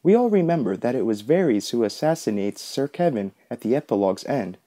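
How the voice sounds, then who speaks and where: A man's voice: mid-range voice, big pitch swings, a little fast, warm tone. A man presenting a podcast with scripted, explanatory voice-over narration. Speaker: podcast host.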